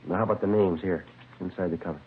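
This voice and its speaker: An actor playing a terse, businesslike American police sergeant in a 1950s radio crime drama, clipped and matter-of-fact. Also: male voice, sits low, fast, a little hiss or hum, close to an everyday voice. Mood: businesslike, probing. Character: terse, businesslike male police sergeant narrating his case. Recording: dull, phone-like vintage radio recording, faint music.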